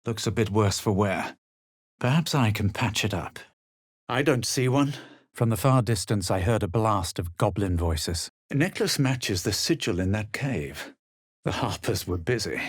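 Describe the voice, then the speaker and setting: An actor playing a haughty, sardonic male adventurer in a fantasy video game, speaking and narrating with a dry, measured, husky delivery. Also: lowish voice, lively intonation, no echo. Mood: musing.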